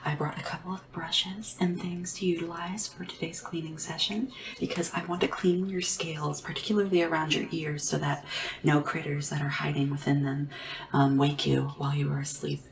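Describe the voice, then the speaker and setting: A woman speaking softly and whispering in an ASMR roleplay as a polite, hesitant, deferential sleep assistant to royalty. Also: low voice, level tone, moderate pace, vocal fry, recorded from a distance, low-quality recording. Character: nervous, devoted royal sleep assistant to a dragon ruler.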